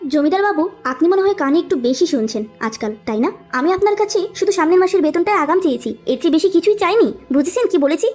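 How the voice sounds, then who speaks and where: A woman's voice: very high-pitched, lively intonation, fast, a little mellow, faint music behind. A woman voicing a poor, proud widowed mother in a cartoon, pleading and indignant. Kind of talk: Bengali cartoon voice acting.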